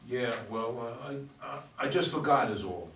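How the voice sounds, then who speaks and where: A man in a stage play reading, playing a flustered, evasive homeless man with a halting, stammering delivery. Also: mid-range voice, animated, a bit bright, natural voice. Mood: defensive, flustered. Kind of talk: stage play read aloud by readers' theater. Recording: echoey room, distant mic, faint noise.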